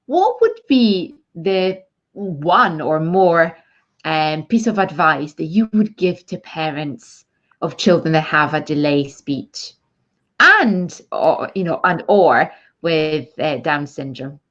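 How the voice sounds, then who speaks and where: Slow, low-voiced woman speech therapist. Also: lively intonation, fairly bright tone. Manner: slow, explaining, many fillers. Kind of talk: interview chat. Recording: dull lo-fi sound.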